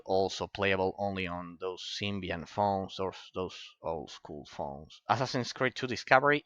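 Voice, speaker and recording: A man's voice: on the low side, bright, forceful tone, dry recording, lo-fi sound. A man with an Italian accent giving rambling, opinionated commentary on a game collection, with many restarts. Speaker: game reviewer. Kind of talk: casual commentary over game footage.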